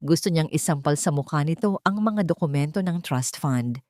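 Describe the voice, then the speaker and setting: Female storyteller, lowish voice, dramatic scripted narration, dry studio-like sound. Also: animated, normal speed, warm tone, no echo.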